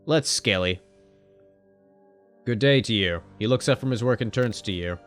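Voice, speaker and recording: A man's voice: on the low side, moderate pace, faint music behind. A man streaming a visual novel, reading its text aloud with wry, joking asides. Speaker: gaming streamer.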